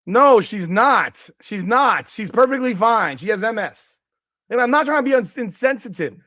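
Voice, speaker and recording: A man in his forties with a slightly high voice hosts a sports talk stream, ranting with plenty of cursing and leaping upward when something fires him up. The sound is dry and a little dull and lo-fi. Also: lively intonation, moderate pace, pushed and bright, dry recording. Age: 40s. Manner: ranting and profane, voice jumping up in pitch when worked up. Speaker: podcast host. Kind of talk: casual sports talk livestream, reading chat comments.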